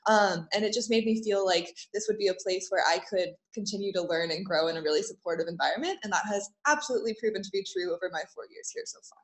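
Young female panelist from San Diego; brisk, fluent, articulate. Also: mid-range voice, animated. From San Diego, California. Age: young adult. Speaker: student panelist. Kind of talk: casual chat. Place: conference room.

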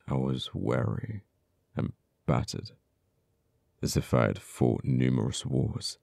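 A man playing an uptight, wounded but earnest government official in an ASMR audio roleplay, speaking haltingly with stammers. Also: extremely low pitch, gravelly, warm tone, no echo, studio quality, heavily acted. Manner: halting, hesitant delivery with stammers. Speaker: voice actor.

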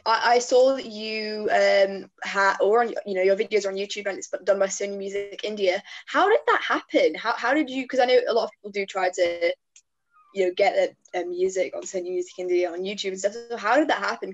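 A woman's voice: medium pitch, a little fast. A young woman asking casual, slightly hesitant interview questions as a trainee over a video call. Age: young adult. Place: plain indoor room. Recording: dull video-call audio.

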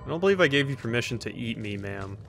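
A man's voice: medium pitch, lively intonation, fast, music in the background. A man giving casual let's play commentary with wry, joking asides.

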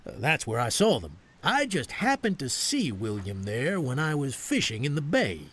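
An actor playing a plain-spoken, disapproving village fisherman in a video game, with a husky voice. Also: medium pitch, huge pitch swings, moderate pace, a bit bright, a little hiss or hum. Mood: matter-of-fact.

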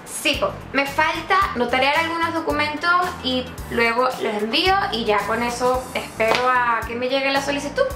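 Brief: twenties Venezuelan woman vlogger, high voice, playful and self-mocking, echoey bedroom with music behind. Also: moderate pace, few pauses. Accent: Venezuelan, putting on Chilean slang. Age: 20s. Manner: playful, self-mocking, laughing often, animated hand gestures. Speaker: YouTuber. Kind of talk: casual vlog answering questions.

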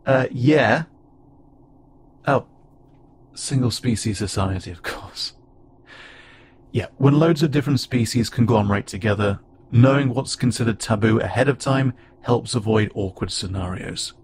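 A man voicing a friendly, curious, slightly awkward alien spacefarer in a conversational audio roleplay, speaking haltingly and wryly. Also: mid-range voice, noisy background, close to an everyday voice. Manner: casual, halting, gently wry. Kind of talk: conversational audio roleplay acting to an unheard listener.

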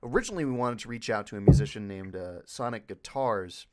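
Low-voiced man talking casually. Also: quick pace. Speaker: panelist, video editor for a fan dub group. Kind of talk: casual panel Q&A talk.

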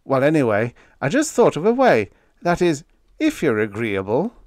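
A man's voice: mid-range voice, huge pitch swings, normal speed, a little mellow, dry recording, clean studio sound, pitched above the performer's own voice. A man voicing a gangly, nervous and earnest spaceship lieutenant, faltering and pleading in a pulp space story. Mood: sheepish.

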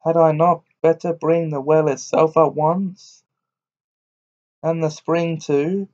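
A man's voice: fairly high pitch, steady tone, takes it slowly, darkish tone. A man voicing a boastful, cheeky tailor in a storybook reading, with a cocky, bragging manner. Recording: dull lo-fi recording.

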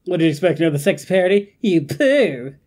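A woman hosts a reaction video with casual, off-the-cuff commentary. Her pitch moves around in an animated way, and she tends to exclaim and say phrases over again. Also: fairly quick. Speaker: host of a reaction channel.

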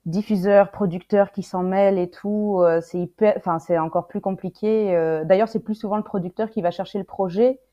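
A woman with a mellow, relaxed voice chats casually as a panel guest who works in animation. The sound is dull and slightly lo-fi, like a video call from an indoor room. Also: medium pitch, normal speed, few pauses.

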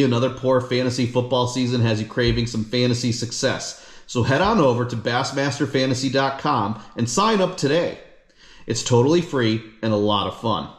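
A man in his thirties hosting a fishing channel, reading an upbeat scripted announcement to camera from his living room. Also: medium pitch. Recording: echoey room.

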